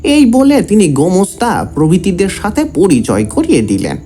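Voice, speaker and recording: A man's voice: sits high, huge pitch swings, normal speed, warm tone, faint music behind, full character voice. An actor playing a young disciple who respectfully recounts events to his guru in an audio drama. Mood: eager reporting. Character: young male disciple of a tantric guru, deferential, gossipy storyteller. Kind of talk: dramatised Bengali audio story narration.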